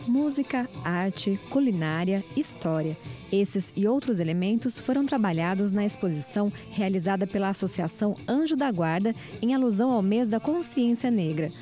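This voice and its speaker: A woman reporter narrating a local TV news feature in a measured, clear way. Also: medium pitch, lively intonation, mellow, soft background music.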